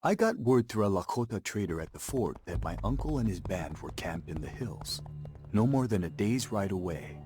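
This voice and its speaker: An earnest young war veteran from a game cutscene, in a low, lively voice. He speaks with measured sincerity, like someone who holds to his principles. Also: husky, faint music behind. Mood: reflective. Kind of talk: video game cutscene acting and narration.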